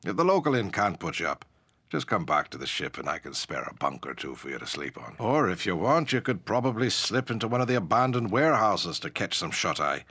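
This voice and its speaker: An actor playing a gruff, wry but good-hearted ship's captain in a fantasy video game, with salty, colloquial seafaring speech. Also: male voice, deep voice, sing-song, a little fast, bright, forceful tone, no echo, full character voice. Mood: bantering, hearty.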